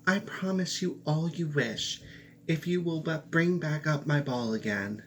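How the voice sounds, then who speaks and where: A man doing a shrill spoiled young princess. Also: a little slow, a little hiss or hum, lightly acted. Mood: eager.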